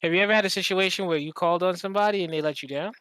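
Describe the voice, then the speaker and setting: A man hosting a podcast in casual conversation, speaking informally and a little haltingly. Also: sits high, a bit bright, clear, resonant tone, no echo. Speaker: podcast host.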